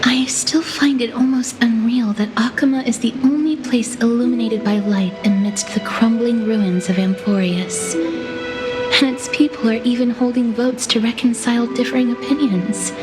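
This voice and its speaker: A woman voicing a gentle, sorrowful young mortician and former holy maiden in a story video game, speaking softly and deliberately. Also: a little high, lightly acted. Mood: wistful, soft. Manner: soft, deliberate, wistful, with sighs. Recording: music behind the voice.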